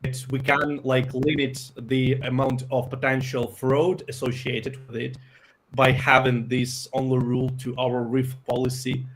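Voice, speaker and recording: A man from Ukraine, a native Ukrainian speaker, answering interview questions about his company with many pauses and fillers. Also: mid-range voice, flat delivery, slight background noise, poor audio. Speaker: software company founder.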